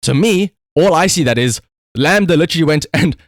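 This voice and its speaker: A man's voice: fairly high pitch, animated, brisk, no room sound, clean studio sound. A man giving casual livestream commentary in a rambling, conversational, emphatic way, as the host talking to viewers.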